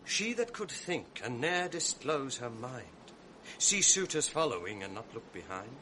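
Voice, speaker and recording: An actor playing a scheming, sardonic male ensign in a 1980s radio drama, mocking and sly, sinking to a hushed mutter when plotting. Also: medium pitch, sing-song, measured pace, a little hiss or hum, poor audio.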